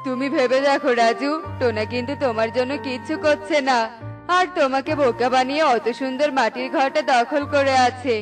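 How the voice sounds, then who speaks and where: A scheming male crow from a children's cartoon, acted out by a woman. The voice is high, wheedling and taunting, the talk of a greedy bully. Soft music plays underneath. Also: steady tone, fairly quick, lightly acted. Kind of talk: cartoon character voice acting.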